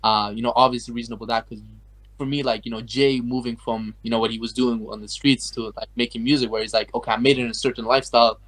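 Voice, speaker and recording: Fast-talking young man, rambling guest. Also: mid-range voice, steady tone, a bit bright. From Afghanistan. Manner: rambling, heavy use of like and you know. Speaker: guest artist. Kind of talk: casual interview chat.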